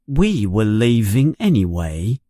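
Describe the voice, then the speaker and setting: A man voicing an arrogant schoolboy bully in an audiobook, speaking in a slow, mean, menacing way. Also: fairly low pitch, big pitch swings, mellow, dry recording, studio quality, full character voice. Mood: scornful.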